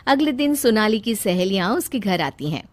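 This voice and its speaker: A woman's voice: mid-range voice, very animated, moderate pace. A woman reading scripted storytelling narration for a cartoon tale.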